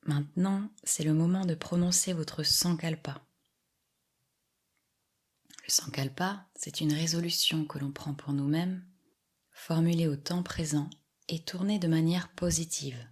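Very slow, husky-soft woman guiding relaxation. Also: deep voice, fairly even intonation. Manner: soft, breathy, soothing delivery with long pauses. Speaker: yoga nidra relaxation guide. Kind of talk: guided meditation, slow scripted instruction.

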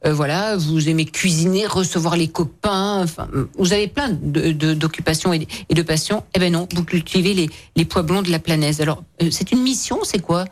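A woman hosting a radio show in a lively, conversational interview style, chatty and teasing with guests. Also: on the low side, normal speed, hardly any pauses.

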